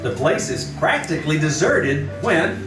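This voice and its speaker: A formal, genial 1940s radio announcer acted by a man, fairly high in pitch. He presents scripted narration from a roomy stage, over loud music. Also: very animated, measured pace, distant mic. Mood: scene-setting. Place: live on a theatre stage.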